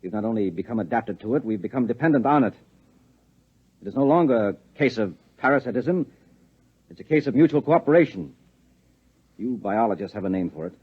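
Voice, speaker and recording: A hard, controlling husband in an old radio play, with a husky mid-range voice. He is clipped and insistent, on a dull 1950s recording. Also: fairly low pitch, expressive, fairly quick, pauses often. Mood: grim, persuasive. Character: hard, controlling government husband with grim secrets. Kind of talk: 1950s radio drama acting.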